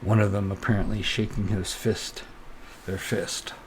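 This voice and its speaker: A man narrating a solo tabletop role-playing game, improvising haltingly and thinking aloud as game master. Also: on the low side, little pitch movement, slight background noise, poor audio.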